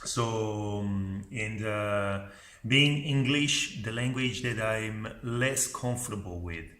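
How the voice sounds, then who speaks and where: A man's voice: on the low side, takes it slowly, a bit bright, echoey room. A man whose first language is Italian, talking casually to camera as a YouTuber, thinking aloud with lots of fillers and "okay?" check-ins. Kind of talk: casual advice talk to camera. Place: at home by his computer.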